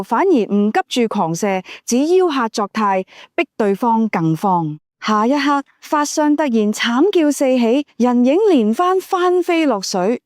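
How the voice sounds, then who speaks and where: Female audiobook narrator, Cantonese accent, higher voice, very animated intonation, dry recording, scripted storytelling. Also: no room sound.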